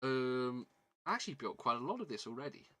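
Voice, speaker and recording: Bright-voiced southern British man chatting casually. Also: medium pitch, big pitch swings, brisk. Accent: southern British English. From southern England. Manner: rambling, self-deprecating, repeats words and phrases. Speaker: livestream host. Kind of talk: casual livestream chat while building a model kit.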